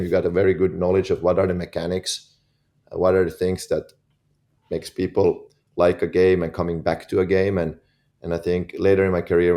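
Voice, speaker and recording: A man in his forties with a Finnish accent, a podcast guest who talks in an easy, relaxed way and often repeats words while he gets going. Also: low voice, gravelly. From Finland. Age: 40s. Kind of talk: casual interview talk.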